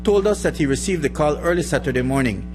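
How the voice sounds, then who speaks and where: A man's voice: fairly high pitch, lively intonation, fast. A man reading scripted news narration as a reporter. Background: music bed.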